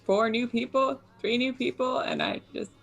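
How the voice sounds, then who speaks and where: A woman's voice: a little high, fairly even intonation, moderate pace, a bit bright. A woman playing a tabletop game, chatting in a joking, blunt way and swearing casually.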